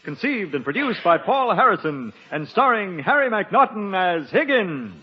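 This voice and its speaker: A man's voice: sits high, very animated, moderate pace. A man with an American accent announcing and narrating a scripted 1950s radio show. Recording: dull old radio broadcast, loud music, audience.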